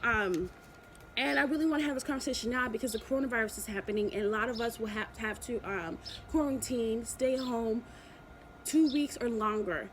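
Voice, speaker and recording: A woman's voice: a little high, fairly quick, fairly bright tone, a little hiss or hum. A woman vlogging, chatting in a rambling, affectionate way, often repeating herself and restarting. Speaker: YouTube vlogger. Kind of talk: motivational vlog chat.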